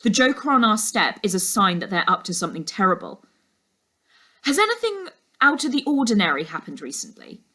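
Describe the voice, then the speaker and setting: A woman voicing a serious ex-detective uncle in a storybook, speaking in a measured, authoritative way. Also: mid-range voice, sing-song, pushed and bright. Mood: grave. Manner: measured, commanding, matter-of-fact.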